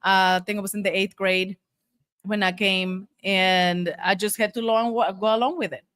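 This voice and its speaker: A woman's voice: mid-range voice, fairly even intonation, moderate pace, a bit bright, dry recording. Middle-aged native Spanish-speaking woman, dry sound. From Monterrey, Mexico. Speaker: guest, taco shop owner. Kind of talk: casual conversational storytelling.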